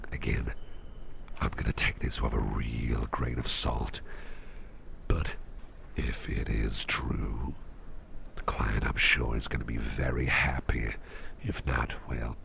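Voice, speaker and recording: A man performing an ASMR roleplay as an attentive boss, speaking in a gentle, reassuring, breathy half-whisper with an unhurried delivery. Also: rumbling low, slight background noise. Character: soft-spoken, attentive businessman boss who researches legendary artifacts. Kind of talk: ASMR roleplay, intimate one-sided chat.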